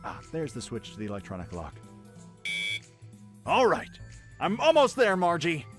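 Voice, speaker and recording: A brash young pirate prince talks with cocky swagger and big swings in pitch, as a man acts him out. Clear music plays behind him in this fan radio drama. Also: huge pitch swings, moderate pace, a bit bright. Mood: eager.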